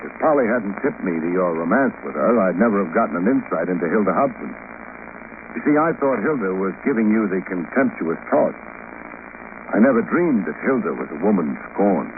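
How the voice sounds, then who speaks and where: An actor with an American accent playing a wry, hardboiled private detective in a sardonic, clipped old radio-drama style. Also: male voice, sits low, very animated, a little fast. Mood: explaining. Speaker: lead actor, detective narrator. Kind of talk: 1940s-50s American radio detective acting. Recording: noisy, narrow-band old radio recording.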